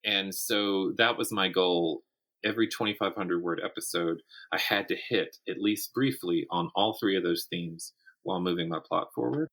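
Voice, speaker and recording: Male interview guest, writer type, very low voice, explanatory, works out thoughts aloud. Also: moderate pace, a bit bright, rough recording. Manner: explanatory, thinks aloud with self-corrections. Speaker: guest author and audio drama writer. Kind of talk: conversational interview about the writing craft.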